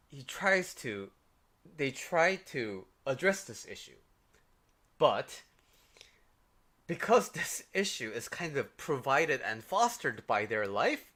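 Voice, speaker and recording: A man with a Korean accent talks through life advice in a relaxed livestream, his voice sitting at a middle pitch. He speaks in a loose, conversational way, leaning on fillers and asking "right?" as he goes. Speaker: life coach. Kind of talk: casual livestream advice talk.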